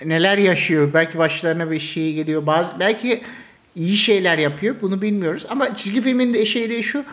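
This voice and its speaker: A young man with a distinctly high voice for a male speaker chats as a co-host. His talk is casual, colloquial and conversational. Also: fairly quick, fairly bright tone. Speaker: podcast co-host. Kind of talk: casual chat.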